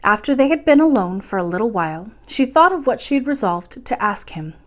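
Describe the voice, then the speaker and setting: A woman's voice: on the high side, very animated, a little fast. A woman reading a children's story aloud expressively, as the host of a bedtime storytime.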